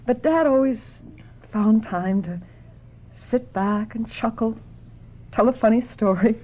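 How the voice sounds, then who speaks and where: Slow, anguished woman in old radio drama. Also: fairly high pitch. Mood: wistful. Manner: halting, breathy lapses into whisper, melodramatic. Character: distraught, guilt-ridden suicidal woman, fragile and dazed. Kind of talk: old-time radio mystery acting. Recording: dull lo-fi old radio recording, music behind.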